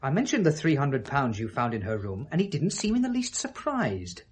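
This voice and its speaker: Brooding, guilt-ridden British man, husky voice. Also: medium pitch, sing-song, moderate pace. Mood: guarded, insistent. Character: troubled, guilt-ridden educated man named James, searching himself. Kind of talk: 1970s British radio drama acting.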